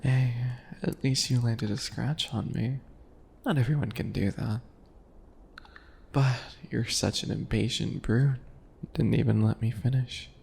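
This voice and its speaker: A man voicing a smug, teasing, obsessive bunny alchemist in an ASMR roleplay, taunting in a mocking, drawn-out, breathy way. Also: medium pitch, darkish tone.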